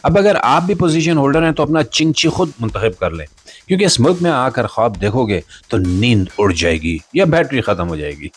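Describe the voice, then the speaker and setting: A man's voice: mid-range voice, big pitch swings, a little fast, breathy edge. A man with a Pakistani accent hosting a satirical news show, speaking to the audience in a sarcastic, theatrical monologue.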